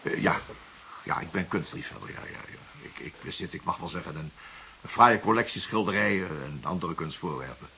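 A man's voice: low voice, fairly quick, sharp tone, a little hiss or hum. An actor playing an anxious, well-to-do middle-aged father and art collector in a radio play, hesitant, stammering and repeating himself. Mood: modest. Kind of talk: Dutch radio play acting.

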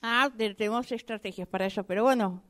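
A woman's voice: fairly high pitch, fast, a bit bright, lightly acted. A woman with an Argentine accent voicing a chatty, sentimental mother cockroach in improvised comic radio theatre, repeating herself coyly. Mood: plaintive. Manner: repetitive, coy, hesitant, conversational asides.

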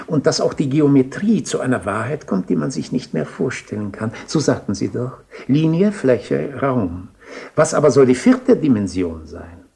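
A contemplative, urbane bishop for classic radio drama. His mid-pitched voice has a gravelly creak. He speaks in a genial, musing way with lively melody. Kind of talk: German radio play acting.

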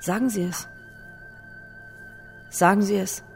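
A woman's voice: medium pitch, slow pace, vocal fry, faint music behind. An actress in a German radio drama playing a bereaved young mother, insistent and bitter, speaking in terse, intense phrases. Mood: pleading, bitter. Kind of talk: German radio drama, interior monologue and dialogue.

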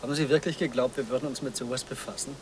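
An actor playing an officious, evasive conference organizer with a wordy, self-important manner in a radio drama. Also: male voice, mid-range voice, steady tone, rapid-fire, natural voice. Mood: confiding. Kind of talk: German radio drama dialogue. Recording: clear background noise.

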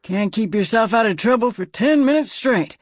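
A narrator voicing a gruff, wry male sentient gun with folksy phrasing in an audiobook. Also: mid-range voice, animated, normal speed, no echo, voice pushed up, close to an everyday voice.

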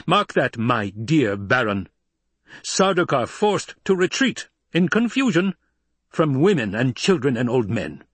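A man's voice: mid-range voice, sing-song, measured pace, a bit bright, breathy edge, dry recording, pitched above the performer's own voice, heavily acted. A man voicing an imperious, mocking older Emperor in a dramatic audiobook, with a biting, domineering delivery. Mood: scornful, indignant.

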